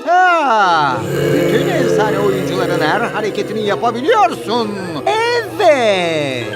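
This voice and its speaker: A man's voice: sits high, wildly swooping pitch, slow pace, over loud music, full character voice. A man playing a scheming, gloating villain in a cartoon, with theatrical salesman-like patter. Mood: commanding, smug. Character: scheming, gloating male villain who hypnotizes footballers. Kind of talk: cartoon dubbing.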